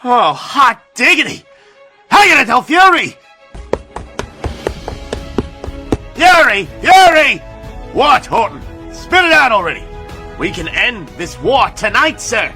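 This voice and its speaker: Excitable, piercing-voiced American wartime scientist. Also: male voice, extremely high pitch, measured pace, soft background music, full character voice. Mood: excited urgency. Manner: gushing, excitable, folksy slang. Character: excitable male scientist aide in 1940s war room. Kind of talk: 1940s-flavoured audio drama acting.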